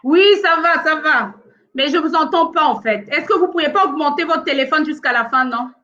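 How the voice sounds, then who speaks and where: A woman's voice: high-pitched, moderate pace, sharp tone. Woman hosting a lo-fi home live stream. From France, of Congolese origin. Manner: hesitant, many fillers, struggling with sound problems. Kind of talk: casual live stream chat.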